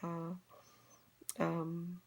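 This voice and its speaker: A woman's voice: on the low side, monotone, drawn-out words, gravelly. An older woman from Colorado chatting casually on a live video, rambling with many fillers and restarts. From Colorado, USA. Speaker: beauty guide vlogger.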